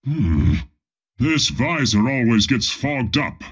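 An actor playing a pompous, menacing armored space lord with a robotic body, speaking imperiously with deadpan comic asides in a video game. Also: male voice, slow pace, slight background noise. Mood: irritated. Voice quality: deep, husky, menacing. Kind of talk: video game character voice lines.

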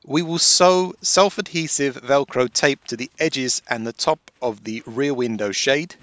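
A man giving a steady, explanatory voice-over for a van life how-to video. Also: mid-range voice, a little slow, no echo. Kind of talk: scripted voice-over narration. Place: based in Sweden.

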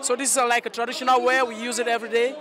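A man's voice: very high-pitched, level tone, racing. An enthusiastic man with a Cameroonian accent chatting casually in an outdoor interview. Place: outdoor festival seating. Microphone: handheld interview microphone.

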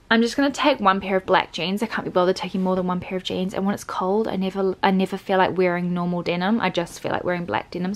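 Chatty young New woman vlogging. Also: lowish voice. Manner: bubbly and chatty, lots of ums and likes. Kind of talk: casual chatty vlog talk. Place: bedroom at home, indoors.

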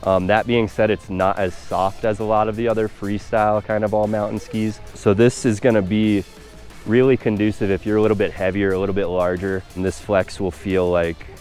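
A young man with a fairly low voice reviews skis in a casual, laid-back way. He talks conversationally to camera outdoors, with faint music underneath. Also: flat delivery, fairly quick, darkish tone. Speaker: ski reviewer. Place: outdoors at a snowy ski slope.

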